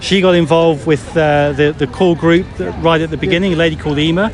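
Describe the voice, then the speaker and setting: A man's voice: a little high, fairly even intonation, quick pace. A man from the UK with a British accent, answering questions in a friendly, hesitant way and often repeating words, recorded outdoors in a park. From United Kingdom. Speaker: run director. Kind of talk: casual interview. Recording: outdoor recording with lots of voices nearby.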